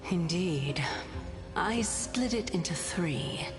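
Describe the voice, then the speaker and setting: A woman voicing an ancient, sly god of reason in a video game, formal and measured with a teasing, knowing edge. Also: fairly low pitch, lively intonation. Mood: solemn, composed. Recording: long reverb, music behind.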